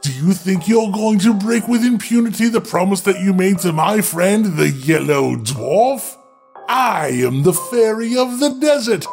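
A man's voice: sits high, expressive, a little slow, creaky, darkish tone, soft background music, heavily acted. A man voicing a tall, ugly, ancient fairy crone from a storybook, making theatrical, vengeful threats. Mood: threatening, vengeful.

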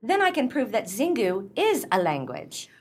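A woman's voice: a little high, huge pitch swings, moderate pace, darkish tone. A woman acting a prim, pedantic and easily scandalized book club lady in a radio play, proper and slightly hesitant. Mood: pedantic certainty.

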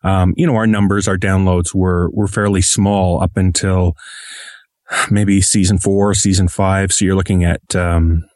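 A man's voice: low-pitched, little pitch movement, measured pace, darkish tone, dry recording, studio quality. A man speaking as an interviewed podcast creator, thoughtful and wordy, with a self-deprecating streak in conversation.

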